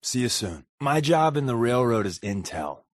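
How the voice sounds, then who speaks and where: A man playing a wry, sarcastic spy companion in a video game, with a deadpan, flippant delivery. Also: mid-range voice, moderate pace, no room sound, studio quality.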